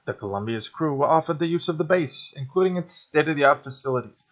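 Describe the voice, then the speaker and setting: A man's voice: fairly high pitch, animated, fairly quick, lo-fi sound. A man reading scripted news reports as a narrator. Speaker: news reader.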